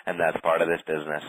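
A man guiding a tapping session in a calm, steady way, naming tapping points and saying short phrases for the other person to repeat. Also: low voice, breathy edge, poor audio. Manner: calm, slow, leads phrases for repetition. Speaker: tapping practitioner.

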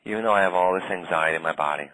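A man guiding a tapping session in a calm, steady way, naming tapping points and saying short phrases for the other person to repeat. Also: low voice, no echo, rough recording. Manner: calm, slow, leads phrases for repetition. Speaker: tapping practitioner.

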